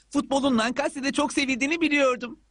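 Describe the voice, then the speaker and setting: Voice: high voice, fairly quick, a bit bright, no room sound. An actor voicing a friendly, eager sixth-grade boy football player in a dubbed cartoon, earnest and cheerful.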